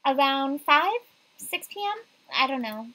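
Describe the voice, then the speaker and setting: A woman's voice: high-pitched, sing-song, unhurried, pushed and bright, a little hiss or hum, voice pushed up, heavily acted, low-quality recording. A woman voicing a spirited, easily riled young woman in a visual novel. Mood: upbeat.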